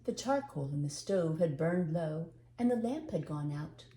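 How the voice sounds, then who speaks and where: An older woman reads as a storyteller with expressive storybook narration. Her voice is warm, mellow and slightly low, recorded in a roomy, echoey space. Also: measured pace.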